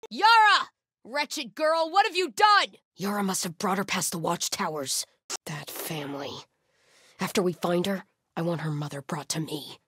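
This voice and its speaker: A woman's voice: lowish voice, expressive, normal speed, breathy edge, no echo. An actress playing a grim, devout female cult soldier in a video game, with a stern, zealous manner. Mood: stern, angry. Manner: solemn, stern, swinging from hushed muttering to shouted alarms. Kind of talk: video game combat voice lines.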